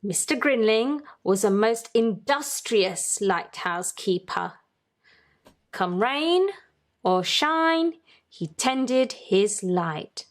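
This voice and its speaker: Slow-paced woman reading a children's storybook. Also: a little high, expressive. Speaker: children's story-time host and reader. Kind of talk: read-aloud storybook narration with questions to young listeners.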